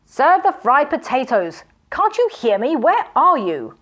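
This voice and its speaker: A woman voicing a wicked male magician who shouts furious, blustering threats. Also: high-pitched, sing-song, takes it slowly, voice pushed up, exaggerated voice. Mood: angry.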